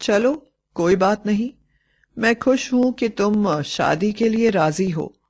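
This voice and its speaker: A woman storyteller plays a middle-aged stepfather, dutiful and wounded. The delivery is slow and measured. It stays earnest and subdued, in the manner of a moral tale. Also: medium pitch, a little mellow. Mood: hurt, resigned.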